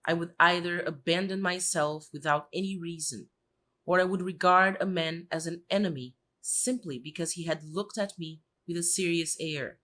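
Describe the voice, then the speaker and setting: A woman's voice: on the low side, moderate pace, a bit bright. A woman reading scripted literary narration as an audiobook narrator.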